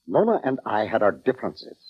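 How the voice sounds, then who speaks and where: An actor playing a courtly, anxious British orchestra conductor in a formal 1940s radio drama style. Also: male voice, lowish voice, wildly swooping pitch, a little fast, husky, heavily acted. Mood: guarded, earnest. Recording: dull, band-limited old radio recording.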